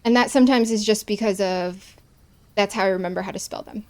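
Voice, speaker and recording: A woman's voice: medium pitch, steady tone, brisk. A woman from the American Midwest with a Chicago accent, chatting casually while streaming a game, with long vowels and careful over-enunciation.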